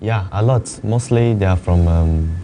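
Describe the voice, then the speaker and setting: Soft-spoken young man, very dark low voice. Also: a little slow, slight background noise. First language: Chinese. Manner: hesitant, soft-spoken, laughs shyly. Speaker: esports player guest. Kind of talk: interview answers.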